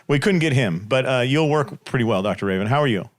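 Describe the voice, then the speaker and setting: A man's voice: medium pitch, expressive, moderate pace, no room sound. A man hosting a podcast, chatting casually and teasing his guest in a playful way. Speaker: podcast host and interviewer. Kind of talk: casual candid interview chat.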